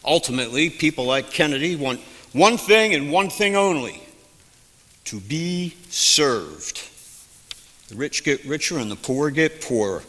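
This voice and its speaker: Man as folksy middle-aged vet and shopkeeper, bright, fairly high voice, reverberant hall. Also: animated, a little slow. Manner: folksy, ranting, drawling. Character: 1962 Southern drugstore owner, war veteran, opinionated. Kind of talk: student stage play.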